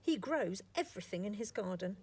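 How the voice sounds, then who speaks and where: A woman's voice: mid-range voice, animated, fairly quick, breathy edge. A woman voicing a stubborn young boy who is proud of his grandpa, in an insistent, emphatic storybook manner. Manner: insistent, emphatic, sometimes sighing. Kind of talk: children's storybook character reading.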